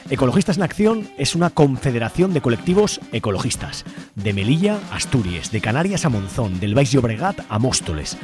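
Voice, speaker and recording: A man's voice: medium pitch, big pitch swings, fairly quick. Spanish man narrating radio over faint music. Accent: Spain Spanish. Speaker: radio show co-host. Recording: music bed behind the voice.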